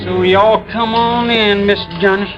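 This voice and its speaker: A male actor playing a wary, frightened, defiant teenage boy in an old-time radio drama, with guarded, halting, rustic speech. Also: sits high, fairly even intonation, over music. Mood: fearful, confiding. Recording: dull vintage radio recording.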